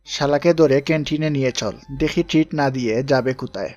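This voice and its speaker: A man's voice: mid-range voice, brisk, a little mellow, no room sound, muffled recording, soft background music, lightly acted. Man acting pushy, teasing college buddy, dry sound. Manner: mocking, slangy, pushy banter. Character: teasing young college buddy demanding a treat. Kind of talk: audio story acting.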